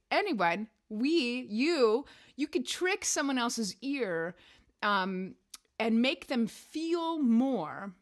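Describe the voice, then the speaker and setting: Woman host, singer, raspy today; loose rambling chat with lots of pitch movement, indoor room with piano. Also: a bit bright. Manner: chatty, rambling, laughs often. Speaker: singer and weekly show host. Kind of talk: casual livestream chat.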